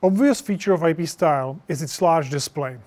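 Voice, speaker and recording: A man in his thirties gives a product presentation into a lapel mic. His voice sits a little high for a man. He explains point by point, with non-native English phrasing. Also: brisk, a little mellow. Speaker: product presenter for an intercom maker. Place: indoor studio stage with projection screen.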